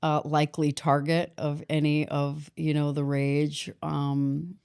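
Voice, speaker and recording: A woman in her forties speaks as a podcast guest. Her voice is low and smooth, and she pauses to reflect, restarts her sentences and uses fillers as she tells her story. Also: flat delivery, a little mellow. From Boston, grew up in San Diego. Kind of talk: personal story in a podcast interview.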